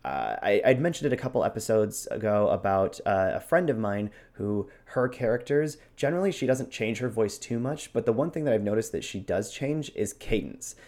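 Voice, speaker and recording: A man's voice: lowish voice, lively intonation, moderate pace, few pauses, darkish tone. A man talking casually as a podcast host in a discussion of performance technique.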